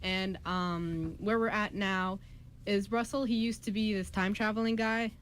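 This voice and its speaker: A woman's voice: medium pitch, fairly even intonation, normal speed. A young adult woman hosting a radio drama show, chatting casually and explaining in loose, informal asides. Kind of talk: casual chat. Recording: noisy room recording.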